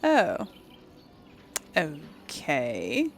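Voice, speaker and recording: A woman plays through a fantasy game and talks it over casually. She takes her time, musing and playful, with an animated mid-range voice. Faint game ambience and birds are heard behind her. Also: low voice, drawn-out words. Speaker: gaming video host. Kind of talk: casual role-play game commentary, reading dialogue aloud. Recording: faint background noise.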